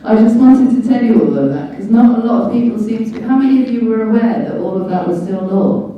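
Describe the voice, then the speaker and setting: A woman's voice: a little high, animated, fast talker. A British woman giving an informal public talk as a guest speaker, explaining in an emphatic, didactic way with rhetorical questions. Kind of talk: informal public lecture on law and rights. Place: large echoey indoor venue at a public meeting. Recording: dull, very echoey hall, distant mic.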